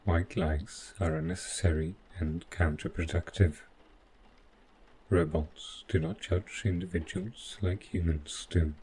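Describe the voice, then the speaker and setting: A low-pitched robot butler, acted by a man, speaks in formal, measured, machine-like phrases. He is devoted and overprotective, serving the listener in a sci-fi audio roleplay. There is clear background noise in the recording. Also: fairly even intonation, mellow, poor audio. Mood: formal, caring.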